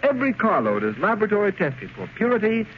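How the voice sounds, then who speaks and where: Animated high-pitched radio announcer man. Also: fast talker. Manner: polished, emphatic salesmanship. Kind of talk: 1930s scripted radio commercial. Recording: muffled, noisy 1930s radio recording.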